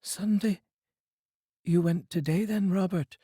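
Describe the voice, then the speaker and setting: A man voicing a gentle, grieving mother of a poor family, speaking tenderly in an audiobook reading, with a husky voice. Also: high-pitched, takes it slowly, no echo, clean studio sound, voice pushed up, exaggerated voice. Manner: tender, consoling.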